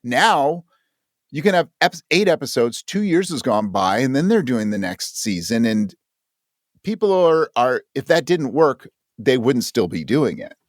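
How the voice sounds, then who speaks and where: A man of average pitch talks as a guest in a casual podcast interview, with very lively intonation. He is earnest and emphatic and often voices imagined remarks as he explains. The sound is close and dry, without room echo. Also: measured pace. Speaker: podcast guest and audio drama creator.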